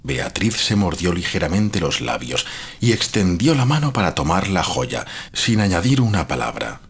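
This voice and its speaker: A man narrating a gothic horror tale as storyteller, with a grave, deliberate, literary delivery and a husky voice. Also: deep voice, big pitch swings.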